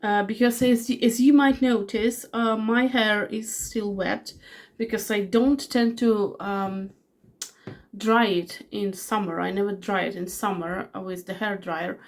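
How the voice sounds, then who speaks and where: A woman's voice: mid-range voice, fairly even intonation, a little slow. Chatty woman in her forties with a cold. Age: 40s. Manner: chatty, rambling with many fillers and restarts. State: has a cold. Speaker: fragrance reviewer. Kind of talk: casual product review vlog.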